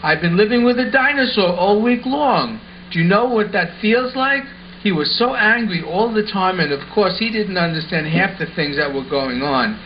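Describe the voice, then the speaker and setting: A man playing an earnest, excitable schoolboy who explains things eagerly in an amateur puppet show. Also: sits high, normal speed. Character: Lance, earnest excitable schoolboy puppet spinning wild tales. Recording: muffled audio with faint background noise.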